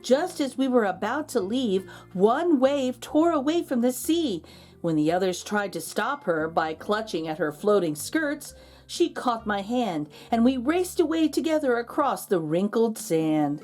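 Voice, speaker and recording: A woman's voice: on the high side, big pitch swings, measured pace, darkish tone. Woman reading a storybook aloud over music. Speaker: children's book read-aloud host. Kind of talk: storybook read-aloud narration.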